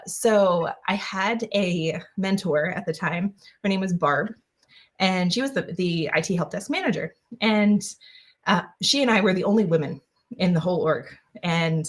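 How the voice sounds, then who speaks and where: A woman's voice: mid-range voice, normal speed. A woman guest on an interview podcast, answering in a chatty way with many fillers and restarts. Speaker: guest, engineer.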